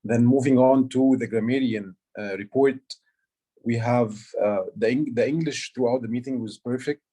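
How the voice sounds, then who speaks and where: A man's voice: medium pitch, normal speed, warm tone. A man from Egypt, not an English speaker, giving a meeting report on a video call with many fillers and restarts. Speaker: aha counter and grammarian. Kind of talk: online club meeting report.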